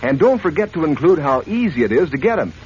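A man's voice: very animated, fast, darkish tone. An actor playing a boy's friendly older pal in a 1940s radio advert, chummy and salesman-like. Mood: chummy, promotional. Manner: chummy, pitching. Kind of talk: 1940s radio acting in a sponsor spot. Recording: dull, noisy old radio recording.

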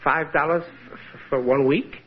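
A man's voice: fairly high pitch, fairly even intonation, normal speed, natural voice. A man playing a grumbling, broke working-class husband. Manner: exasperated, grumbling. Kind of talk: 1940s radio acting. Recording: muffled old radio broadcast.

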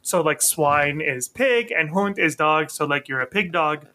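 A man's voice: a little high, lively intonation, measured pace, sharp tone. A man hosting a podcast, telling rambling self-mocking stories in casual chat and laughing often. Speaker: podcast host.

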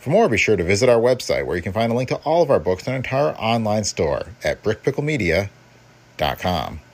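A man's voice: fairly low pitch, big pitch swings, brisk. A man hosting a podcast, reading a scripted introduction. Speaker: podcast host.

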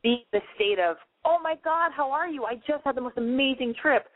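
A woman hosting a call-in advice show talks quickly in a higher, bright register. She gives chatty, emphatic big-sister advice. Her voice comes through thin and tinny, like a phone line. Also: lively intonation, no echo. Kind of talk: casual advice call-in chat.

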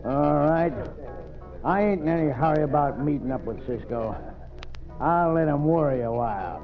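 A man's voice: fairly high pitch, moderate pace, music in the background, natural voice. Man acting a cocky western villain marshal. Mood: cocky, threatening. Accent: American Western. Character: arrogant, cold gunfighter marshal, adult male villain. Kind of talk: old-time radio western acting. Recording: tinny old radio recording.

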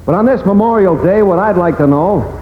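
Solemn ghost of a war-dead ballplayer, long spooky reverb, dull old radio drama sound. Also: male voice, sits high, animated, brisk. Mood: solemn, challenging. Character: ghost of a ballplayer killed at war, earnest, insistent. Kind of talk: 1940s radio acting. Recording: dull vintage radio, echoey reverb, noisy.

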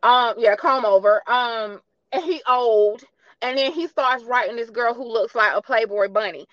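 A high-voiced American woman hosts a podcast in casual, colloquial speech. She tells stories with emphasis and plenty of slang. The sound is close and dry, slightly lo-fi. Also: normal speed, dry recording. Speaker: podcast host. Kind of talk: casual animated chat and interviewing.

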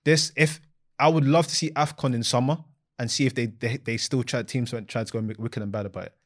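A man co-hosting a casual football chat, animated and slangy, laughing often. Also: mid-range voice, brisk. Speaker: podcast co-host.

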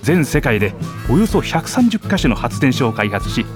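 A man playing a polite, earnest and excitable department manager in a radio drama. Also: mid-range voice, normal speed, natural voice. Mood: earnest explaining. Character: courteous, easily flustered department manager explaining company history. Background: background music.

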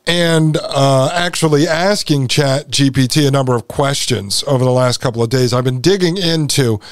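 A man's voice: mid-range voice, normal speed, no room sound. Man hosting a talk podcast, dry close sound. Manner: addresses listeners as folks, explanatory. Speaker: podcast host. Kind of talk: conversational show commentary to listeners.

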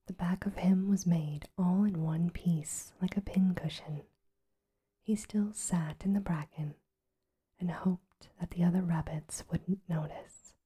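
A woman reading a bedtime story aloud in a soft, even ASMR whisper. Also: on the low side, unhurried, husky.

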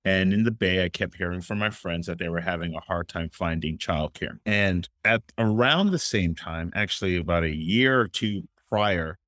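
A man's voice: deep voice, normal speed, few pauses, fairly bright tone, dry recording, clean studio sound. A young adult man from Miami, a childcare company founder, answering interview questions in an earnest, storytelling way with casual fillers. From Miami, Florida.

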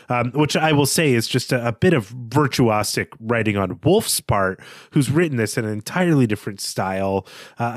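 A man speaks at an ordinary male pitch as co-host of a literary podcast. He reasons aloud in a reflective, slightly tentative way, keeping it conversational. The sound is close and free of room echo. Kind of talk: conversational book discussion.

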